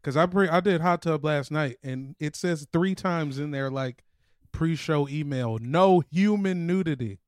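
A man's voice: a little high, unhurried, no echo, studio quality. A man co-hosting a podcast in casual sports banter, blunt and prone to swearing. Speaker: podcast co-host.

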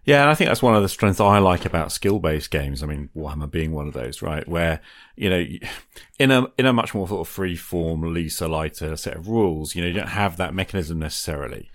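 Very deep-voiced man, casual podcast host. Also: animated, a little fast. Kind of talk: casual conversational interview talk.

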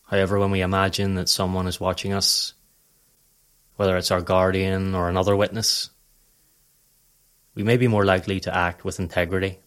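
A man with a low-pitched voice narrates a guided meditation. His delivery is scripted, calm and measured. He gives instructions in a composed, even-handed way. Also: clean tone.

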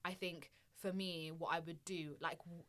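Guest, woman from Somalia; low-pitched, casual, thoughtful, filler-heavy delivery. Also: fairly even intonation, unhurried, fairly bright tone. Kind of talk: casual conversation.

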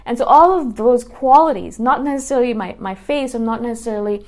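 Earnest woman in her thirties, fairly high voice. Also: a little fast, a little mellow. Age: 30s. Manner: earnest, repeats words and restarts often, asks "right?". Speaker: YouTuber. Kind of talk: casual monologue to viewers.

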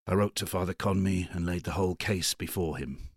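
Man acting a brisk, cheery gentleman, low-voiced. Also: husky, dry recording, clean studio sound.

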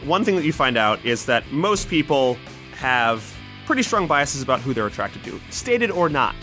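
A man's voice: mid-range voice, big pitch swings, normal speed, sharp tone, faint music behind. A man in an interview clip, explaining in a matter-of-fact way. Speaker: computer programmer interviewee.

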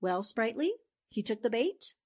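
A woman's voice: on the high side, big pitch swings, measured pace, darkish tone, full character voice. An actress playing a sly, bossy, sophisticated newspaperwoman with an arch, coaxing manner in a 1940s-style radio drama. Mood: sly, satisfied.

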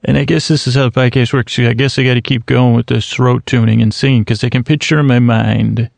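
A man hosting a podcast, musing in a self-mocking, deadpan way and wandering off on long tangents. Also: mid-range voice, brisk, creaky, no echo. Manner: goes off on rambling tangents. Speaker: podcast host. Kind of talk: rambling solo podcast intro.

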